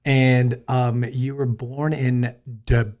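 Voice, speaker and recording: This is an American man hosting a podcast interview. He talks in a friendly, easygoing way and often jokes. His voice sits at an ordinary middle pitch. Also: level tone, slow pace, darkish tone. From United States. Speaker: podcast host. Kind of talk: casual interview chat.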